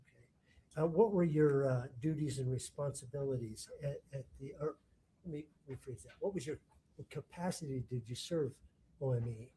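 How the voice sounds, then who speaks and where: Man, courtroom prosecutor, warm dark tone, methodical but halting questioning. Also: mid-range voice, a little hiss or hum, rough recording. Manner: halting, rephrases questions mid-sentence.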